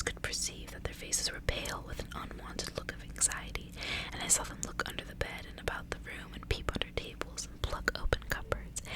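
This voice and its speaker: A woman whispering a gothic story aloud as an ASMR reading, all breath and no voice. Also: rough recording.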